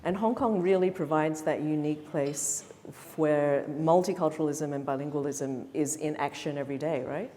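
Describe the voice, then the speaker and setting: A woman's voice: low-pitched, moderate pace, few pauses, mellow, slight background noise. A middle-aged woman moderating a panel, friendly and guiding the questions. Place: event hall before an audience. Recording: reverberant event hall.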